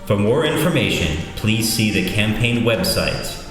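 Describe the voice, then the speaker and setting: A man reads a scripted advertisement in a low voice, evenly and formally. He sounds far from the mic in a long, cavernous reverb, with faint music underneath. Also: unhurried. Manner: even, formal delivery. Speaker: ad announcer. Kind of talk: scripted ad read. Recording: distant voice in a reverberant hall with music.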